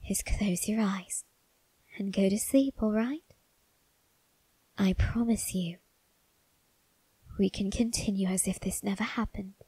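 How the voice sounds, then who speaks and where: A woman voicing a suave male vampire husband in an ASMR roleplay, coaxing softly and often whispering with quiet menace. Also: medium pitch, no echo, rough recording. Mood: hushed, lulling. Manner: slow, soft, breathy coaxing, often whispered.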